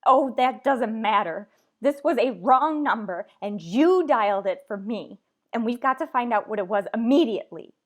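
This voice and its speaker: A woman's voice: on the high side, lively intonation, normal speed. An actress playing a nervous, demanding invalid wife in a suspense radio play, flustered and insistent as she talks. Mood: indignant, insistent. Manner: fretful, insistent, flustered, stammering. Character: frantic, imperious, nervous invalid wife home alone. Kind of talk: old-time suspense radio play acting.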